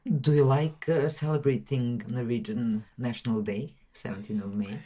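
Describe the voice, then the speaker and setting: A woman's voice: a bass voice, steady tone, normal speed, mellow, slight background noise. A woman hosting a podcast who prompts her guest with brief questions. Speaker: podcast host. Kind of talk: interview questions.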